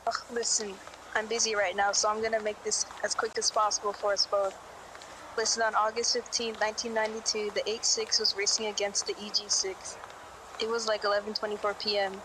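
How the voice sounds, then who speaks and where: Casting: actress as impatient, sardonic woman witness for indie drama, curt and even-toned, mid pitch, noisy recording. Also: fairly quick, lightly acted. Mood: impatient, uneasy. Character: brusque, impatient woman witness recalling a street-race disappearance. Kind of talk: amateur audio drama acting.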